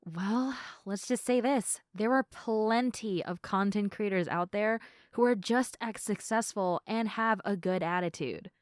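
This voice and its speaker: A woman playing a sleepy, affectionate girlfriend in an intimate ASMR roleplay, chatting softly. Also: mid-range voice, measured pace, no room sound, clean studio sound, natural voice. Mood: chatty, earnest.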